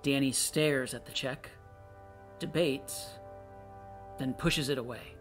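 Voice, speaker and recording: A man's voice: mid-range voice, slow pace, over music. A man narrating a play's stage directions as scripted narration.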